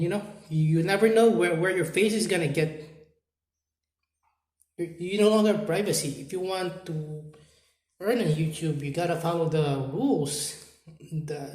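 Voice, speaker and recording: A man with a Filipino accent talks straight to camera in a slightly high voice. He halts, restarts and repeats words, filling gaps with "uh" and "you know". The room around him has a noticeable echo. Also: darkish tone. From Philippines. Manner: halting, repeats words, many fillers. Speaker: YouTuber. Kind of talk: unscripted talk to camera.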